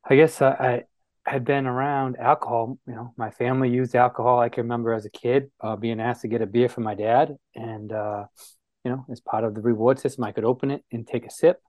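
A man from New Bedford, Massachusetts talks as an interview guest, recounting his past in a reflective, pause-filled way. His mid-pitched voice sounds dry and close to the mic. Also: steady tone, a little mellow, no echo.

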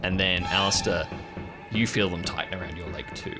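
A man's voice: deep voice, fairly even intonation, fairly quick. A man narrating an improvised tabletop audio drama as game master. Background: music.

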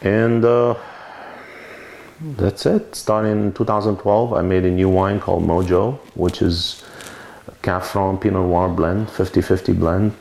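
A deep-voiced man recalls his life in a loose, meandering way, often correcting himself. His voice is gravelly and rough. The room is echoey, with a light hiss behind him. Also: a little mellow. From Lebanon. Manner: rambling, self-correcting storyteller. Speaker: winemaker interviewee. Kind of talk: oral history interview. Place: winery. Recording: roomy recording with faint noise.

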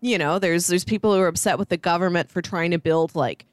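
A woman's voice: on the low side, fast talker, no echo. Woman chatting, close dry sound. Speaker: podcast co-host reviewing a film. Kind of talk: casual discussion.

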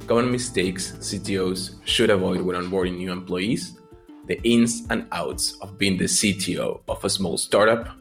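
A man hosting a podcast, reading a scripted intro and then asking questions with frequent restarts. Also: deep voice. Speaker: podcast host.

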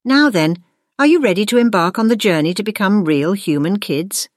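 A woman's voice: medium pitch, lively intonation, normal speed, dry recording, clean studio sound, voice pushed up, close to an everyday voice. Woman acting a composed, knowing witch schoolteacher. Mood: wry.

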